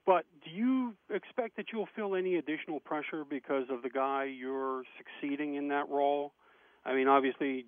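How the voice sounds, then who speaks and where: A man's voice: medium pitch, no room sound. Male reporter on a tinny phone line. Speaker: sports reporter. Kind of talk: press conference questioning. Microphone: telephone.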